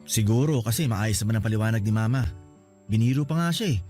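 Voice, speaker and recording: A man's voice: fairly low pitch, quick pace, dark tone, faint music behind, natural voice. A man with a Filipino accent playing a caring, teasing older brother in a radio drama. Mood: concerned, gentle.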